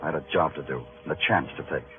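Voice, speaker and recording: An American actor playing a world-weary, wry New York police detective in a 1950s radio crime drama, talking in clipped, moody noir style. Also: male voice, very deep voice, fast talker. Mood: brooding, wry. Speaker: narrator and lead actor. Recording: tinny old radio broadcast, music behind.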